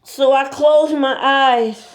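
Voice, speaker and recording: A woman performing spoken word poetry with a slow, pleading, mournful delivery. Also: high-pitched, steady tone, echoey room, faint music behind, low-quality recording.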